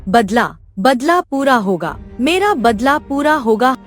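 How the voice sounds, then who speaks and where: A woman's voice: high-pitched, sing-song, slow pace, soft background music, voice pushed down, exaggerated voice. A woman voicing a vengeful female shape-shifting serpent spirit in a cartoon story, speaking in a menacing, bitter way. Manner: menacing, bitter, vowing revenge.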